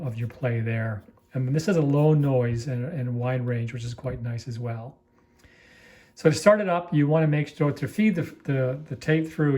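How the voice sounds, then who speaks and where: A man talks through a piece of equipment in a casual, unscripted style, at a medium pitch. His delivery is halting and easygoing, with an apologetic streak, as he explains things hands-on while holding the camera. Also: a little mellow. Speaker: amateur reel-to-reel demonstrator. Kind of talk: casual unscripted product walkthrough.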